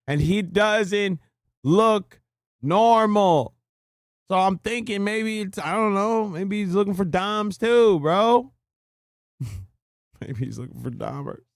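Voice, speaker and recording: A man's voice: high voice, lively intonation, slow, long pauses, dry recording, studio quality. A man streaming a reaction video, chatting casually and crudely while joking and laughing along.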